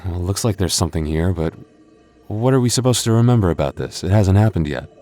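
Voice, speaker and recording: A man's voice: deep voice, animated, brisk, darkish tone, faint music behind. A man voicing a boyfriend in an ASMR roleplay, stammering through restarts and teasing playfully.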